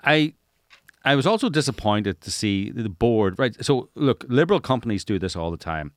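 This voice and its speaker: A man's voice: medium pitch, very animated, moderate pace, no room sound, clean studio sound. A middle-aged man, hosting a casual interview and repeating phrases for emphasis. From Northern Ireland. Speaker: interviewer, podcast host. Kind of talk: casual conversational interview talk.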